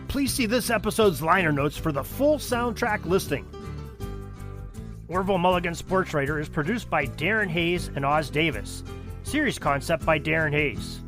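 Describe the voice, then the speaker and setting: A man reading scripted closing credits for an audio drama in the manner of an old-time radio announcer. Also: fairly high pitch.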